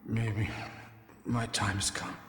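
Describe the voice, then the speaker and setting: A guilt-ridden dying policeman in a 1990s video game. He speaks extremely slowly, in halting, stilted, melodramatic phrases. His voice echoes with long reverb. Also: on the low side, fairly even intonation, a bit bright. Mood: weak, remorseful.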